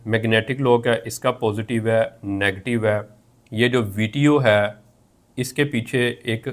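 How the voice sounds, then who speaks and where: Pakistani man explaining step by step. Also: mid-range voice. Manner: repetitive, methodical explaining. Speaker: technical instructor. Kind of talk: instructional tutorial, explaining step by step. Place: indoor room at a whiteboard.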